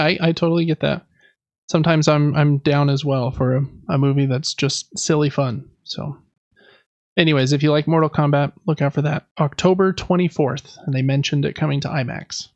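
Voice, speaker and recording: Male podcast host, gravelly fry, slightly high pitch, informal news rundown, dry slightly dull mic. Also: no echo. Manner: conversational, informal.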